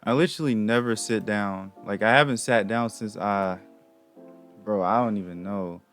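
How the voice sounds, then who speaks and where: A man's voice: fairly low pitch, moderate pace, fairly bright tone, soft background music. A young man hosting a reaction video, talking in a casual, rambling, slangy way.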